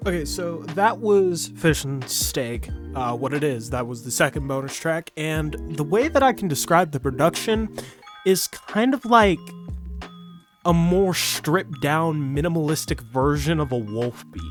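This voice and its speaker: A man's voice: fairly high pitch, animated, measured pace. A man reviewing an album in a casual, rambling way with lots of fillers, sounding a bit sick. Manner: rambling with many fillers and restarts. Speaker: music reviewer. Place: bedroom. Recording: music playing behind.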